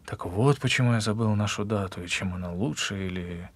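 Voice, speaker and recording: An actor playing an evasive, self-justifying husband in a naturalistic audio drama, speaking in a halting, husky way. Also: male voice, on the low side. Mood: brooding. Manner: halting, pausing mid-confession. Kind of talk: naturalistic audio drama with murmured inner monologue.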